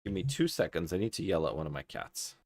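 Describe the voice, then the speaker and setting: Guy from kazakhstan doing gear advice on stream, low voice, dry close sound, thinks out loud. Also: sing-song, quick pace, gravelly, dry recording. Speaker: game livestreamer giving gear advice. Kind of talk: casual live stream chat, advice and commentary.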